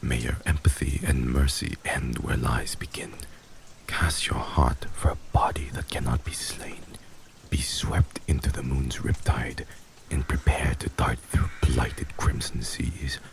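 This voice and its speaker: A man playing a heartless, commanding vampire mafia boss in an ASMR audio drama, gravelly and speaking in a formal, grave, measured manner. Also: rumbling low, level tone, full character voice, rough recording. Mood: dark incantation.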